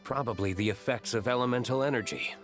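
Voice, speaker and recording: An actor playing an analytical, cool-headed investigator in a fantasy video game, speaking formally and matter-of-factly. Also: male voice, medium pitch, quick pace, fairly bright tone, lightly acted. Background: game music.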